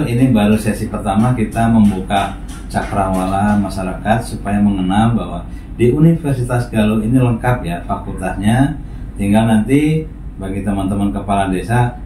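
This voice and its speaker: 40s guy hosting a sit-down interview, lowish voice, lots of quick okays, echoey room with noise. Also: warm tone. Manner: frequent short acknowledgements, addresses the audience. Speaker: host. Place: small office room, indoors. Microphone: camera across the room. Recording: echoey room, far from mic, noisy.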